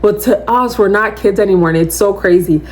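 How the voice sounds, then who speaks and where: A young woman in her twenties with a naturally loud, mid-pitched voice, talking straight to her listeners as a podcast host. She rambles casually, fills her speech with "like", and keeps breaking into lively asides. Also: fairly quick, a little hiss or hum, poor audio. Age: 20s. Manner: chatty, rambling, heavy use of "like"; animated, slips into quoting others. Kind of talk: casual solo chat to camera.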